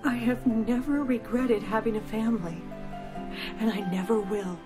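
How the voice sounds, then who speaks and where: A woman's voice: a little high, normal speed, lightly acted. A woman acting an anguished, devoted middle-aged mother in a game cutscene, earnest and sorrowful. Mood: tender, solemn. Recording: background music under the voice.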